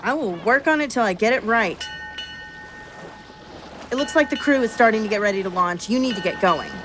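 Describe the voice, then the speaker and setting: A woman playing an eager, helpful character with a teasing, expressive way of talking in a naturalistic audio drama. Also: on the high side, a little fast, slight background noise, lightly acted.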